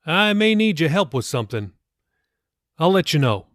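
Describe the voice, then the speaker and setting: A man voicing a warm, teasing middle-aged pastor and father in an audiobook, upbeat and coaxing. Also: fairly high pitch, big pitch swings, moderate pace, no echo, studio quality, pitched above the performer's own voice. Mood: friendly. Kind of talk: audiobook character dialogue.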